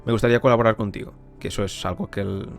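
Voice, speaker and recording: Guy chatting casually, lowish voice, bit of music behind him. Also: steady tone, rapid-fire, vocal fry. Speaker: amateur voice actor. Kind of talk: casual interview testimonial.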